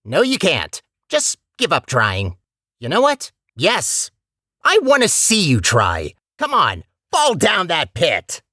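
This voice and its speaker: A man's voice: a little high, huge pitch swings, slow pace, sharp tone, dry recording, clean studio sound, heavily acted. A man voicing a talkative robot core in a video game, a petty, scheming villain with a sarcastic, theatrical and condescending manner. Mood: mocking, scornful. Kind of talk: video game character acting.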